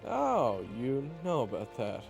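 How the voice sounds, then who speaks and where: Man playing an animated, earnest adventurer over music. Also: mid-range voice, slow, gravelly, heavily acted. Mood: wry. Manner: excitable, stammering when flustered. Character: earnest, easily flustered young male adventurer narrator. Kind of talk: fantasy audio roleplay acting and narration. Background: music behind the voice.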